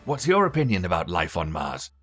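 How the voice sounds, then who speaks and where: A man reading scripted documentary narration in a measured, formal way. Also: sits low, wildly swooping pitch, a bit bright, faint music behind.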